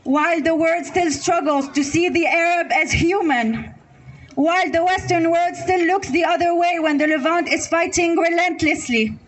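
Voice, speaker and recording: A woman's voice: high voice, low-quality recording. A woman from Lebanon giving a prepared speech at an outdoor rally, listing points one after another in an earnest way. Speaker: rally speaker. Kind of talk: prepared speech read to a crowd. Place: outdoor public square.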